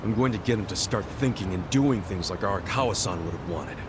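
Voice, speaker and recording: An actor playing a loyal, earnest middle-aged ex-yakuza hero in a video game, talking in a blunt, heartfelt, streetwise way. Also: male voice, deep voice, expressive, fairly quick, very noisy. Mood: casual, cocky. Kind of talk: video game cutscene dub acting.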